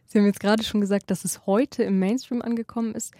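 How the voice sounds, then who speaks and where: A woman hosting a radio interview, putting prepared questions in a measured, articulate way. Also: medium pitch, fairly even intonation, mellow, no room sound, studio quality. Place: radio studio.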